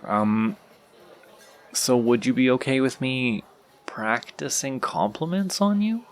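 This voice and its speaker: A man playing a shy, anxious, sweet young stranger in an audio roleplay, talking hesitantly and self-consciously in a creaky voice straight to the listener. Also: medium pitch, lightly acted. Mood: anxious, apologetic. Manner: hesitant, self-conscious, rambling, halting speech.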